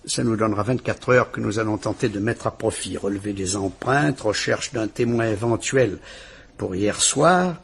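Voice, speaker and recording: An actor playing a calm, kindly police commissioner in a vintage radio drama, speaking in a measured, courteous and reassuring way. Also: male voice, on the low side, gravelly, breathy edge. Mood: grave, reassuring.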